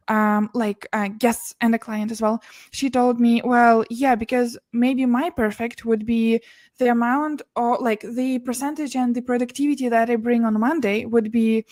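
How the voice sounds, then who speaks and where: A young woman who grew up in Russia and speaks English as a second language, her voice sitting a little high. She talks earnestly in a relaxed interview, often restarting words and laughing softly between them. The sound is close and dry, with no room around it. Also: no echo. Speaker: podcast guest, business and mindset mentor. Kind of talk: casual interview conversation.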